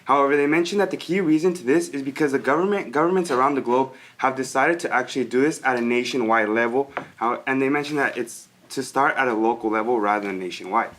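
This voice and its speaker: Give me a teenage boy giving a student presentation at a fast pace, mid-pitched. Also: a bit bright, a little hiss or hum. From El Paso, Texas. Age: teens. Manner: brisk, reciting facts. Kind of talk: rehearsed presentation.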